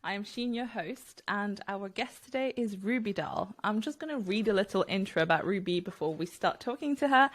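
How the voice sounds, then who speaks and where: A woman hosting a podcast, reading an intro and then chatting with a guest in a friendly, upbeat way. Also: mid-range voice. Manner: friendly and upbeat, laughs easily. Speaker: podcast host. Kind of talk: casual interview chat.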